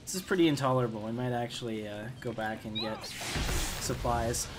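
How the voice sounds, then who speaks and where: A man's voice: mid-range voice, fairly even intonation, normal speed, background noise. A man giving casual, chatty gameplay commentary, thinking aloud to his viewers as he plays. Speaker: gamer hosting a playthrough.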